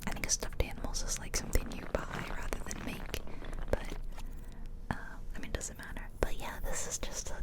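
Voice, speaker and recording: A woman speaks entirely in a soft whisper, close to an ASMR microphone. She rambles in an informal, chatty way, as if narrating casual gameplay from a bedroom at home. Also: low-quality recording. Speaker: ASMR video creator. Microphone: close ear-to-ear ASMR microphone.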